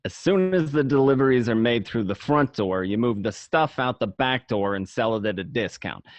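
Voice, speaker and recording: A man's voice: mid-range voice, lively intonation, moderate pace, dry recording, natural voice. A man playing a cynical, streetwise gangster who narrates his story in a radio play. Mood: matter-of-fact, cynical. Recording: muffled, dry home recording.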